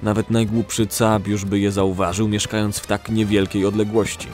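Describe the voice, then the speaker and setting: A man's voice: lowish voice, flat delivery, normal speed, darkish tone, soft background music. A man narrating a scripted fantasy audio story.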